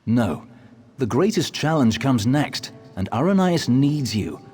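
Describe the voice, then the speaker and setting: An actor playing a wise, earnest storyteller guide in a fantasy video game, measured and solemn. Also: male voice, medium pitch, sing-song, a little mellow, husky, faint music behind. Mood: grave, concerned.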